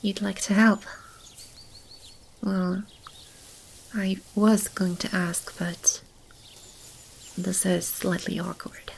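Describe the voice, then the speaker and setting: A woman playing a caring female demon in an ASMR roleplay, speaking softly and soothingly, often close to a whisper. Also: mid-range voice, little pitch movement, drawn-out words, long pauses, slight background noise. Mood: careful, reassuring. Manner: soothing, tender, intimate and reassuring. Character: gentle, caring female demon sheltering animals and a lost child. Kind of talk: ASMR roleplay, soft-spoken with stretches of whispering. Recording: clearly audible ambient animal and bird sounds. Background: animal and bird ambience.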